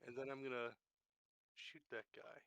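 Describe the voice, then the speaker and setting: A man's voice: mid-range voice, little pitch movement, moderate pace, creaky, a bit bright, no room sound. A man chatting casually as a player in a tabletop roleplaying game.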